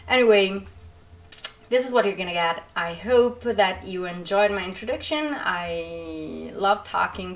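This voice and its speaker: A young woman with an Italian accent, chatting casually and rambling through an introduction to her channel, with many fillers and restarts. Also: on the low side. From Italy. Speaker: writer and streamer.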